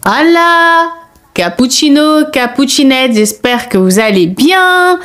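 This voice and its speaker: A woman's voice: sits high, lively intonation, slow, fairly bright tone. A woman hosting a horror story channel, talking straight to her viewers in an earnest, hesitant way, full of fillers and restarts, and firm when she asks them to stop. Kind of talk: casual direct-to-camera monologue.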